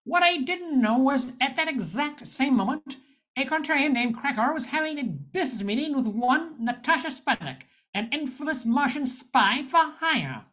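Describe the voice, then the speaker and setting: A woman's voice: sits high, animated, normal speed, a bit bright. A woman playing a wry, jaded male bar owner in a space-noir radio spoof, narrating and speaking in scenes. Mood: narrating, wistful. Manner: wry, hard-boiled.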